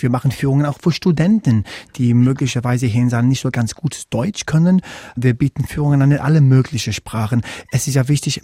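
An English man answers interview questions in German, which is not his first language, and his English accent shows through. He speaks haltingly, stopping often for fillers. His voice is mellow and slightly husky, recorded dry and close. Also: medium pitch, dry recording. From England. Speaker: guest.

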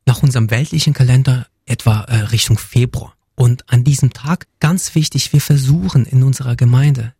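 A man who grew up in Germany speaks earnestly as an interview guest, often building his answers as lists. His voice is warm and a little husky. The sound is dry and close, with no room in it. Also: mid-range voice, dry recording. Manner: earnest, list-like phrasing. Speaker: guest, community representative.

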